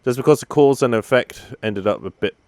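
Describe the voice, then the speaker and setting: A man's voice: medium pitch, a little fast. A man giving casual let's play commentary, musing tentatively aloud as he follows the game. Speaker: gaming video host. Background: faint game music.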